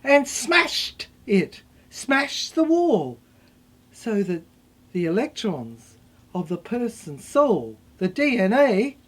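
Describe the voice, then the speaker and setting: A woman performing a theatrical dramatic monologue as an unhinged mad scientist in an asylum, rambling obsessively in repetitive fragments. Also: medium pitch. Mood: haunted, grandiose. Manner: stammering, repetitive, fragmented delivery.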